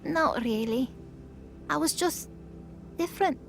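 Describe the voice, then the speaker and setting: A very high, unhurried voice over music: a woman as an earnest young goddess in an audio drama. She is gentle and hesitant, placing simple words with small pauses. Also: gravelly, heavily acted. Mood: soft, reflective. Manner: halting, simple phrasing with pauses. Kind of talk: acted audio drama dialogue.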